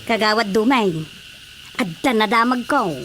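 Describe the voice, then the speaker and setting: Casting: a woman to play a practical, chiding village wife, somewhat high pitch, Ilocano accent, radio comedy drama. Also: normal speed, over loud music, lightly acted. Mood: gossiping, insistent. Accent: Filipino, Ilocano.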